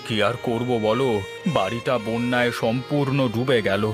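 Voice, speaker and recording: A man's voice: medium pitch, steady tone, normal speed, close to an everyday voice. A man voicing a downcast, remorseful homeless village husband in a moral-tale cartoon, plaintive and resigned. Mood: resigned, regretful. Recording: constant instrumental music behind the voice.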